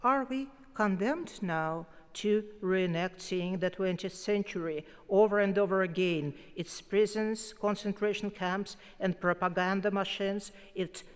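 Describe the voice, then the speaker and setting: A woman with a Russian accent reads a formal acceptance speech, unhurried and weighing every phrase. Her voice comes through smooth and resonant. A long hall reverb trails behind each pause. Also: mid-range voice. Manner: slow, measured and deliberate, with weighty pauses. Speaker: prize laureate giving an acceptance speech. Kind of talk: formal prepared speech read aloud. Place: large concert hall at a ceremony.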